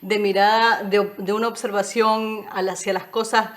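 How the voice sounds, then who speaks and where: A woman's voice: medium pitch, little pitch movement, moderate pace. A woman hosting a cultural interview program, asking formal questions with some hesitation. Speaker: interview host.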